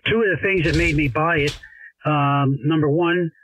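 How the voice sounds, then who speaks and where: A man's voice: on the high side, normal speed, fairly bright tone, a little hiss or hum. A man chatting casually over ham radio in a rambling, folksy, enthusiastic way, as an amateur operator. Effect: transmitted over ham radio. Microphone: desk radio microphone. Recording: narrow phone-like shortwave radio sound.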